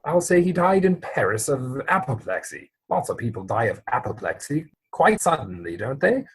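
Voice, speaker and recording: A man acting an exasperated, earnest gentleman in a Victorian stage comedy, with formal, mannered phrasing, recorded at home over a video call. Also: medium pitch, very animated, normal speed, slightly rough, exaggerated voice. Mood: breezy. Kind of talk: stage comedy dramatic reading.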